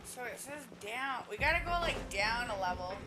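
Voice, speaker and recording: A woman's voice: fairly high pitch, lively intonation, moderate pace. A woman streamer chatting casually to her live audience while she plays a video game. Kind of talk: casual livestream chat over gameplay. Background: game music.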